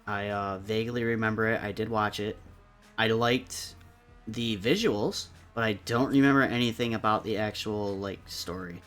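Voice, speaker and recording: A man's voice: fairly low pitch, fairly even intonation, normal speed, fairly bright tone, faint music behind. A man chatting casually and informally as he moderates a discussion among friends. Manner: informal, sweary. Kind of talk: casual chat.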